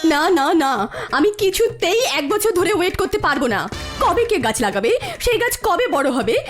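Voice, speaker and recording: Very high, swooping cartoon sweetheart. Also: female voice, big pitch swings, normal speed, faint music behind. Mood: petulant. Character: Sutla, coy dreamy unmarried sweetheart. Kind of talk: comic cartoon dialogue.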